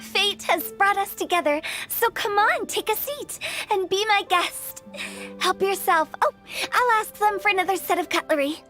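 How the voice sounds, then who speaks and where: A woman's voice: extremely high pitch, lively intonation, moderate pace, bright, forceful tone, soft background music, heavily acted. A woman voicing a mischievous young woman who runs a funeral parlor in a video game, cheeky and sing-song.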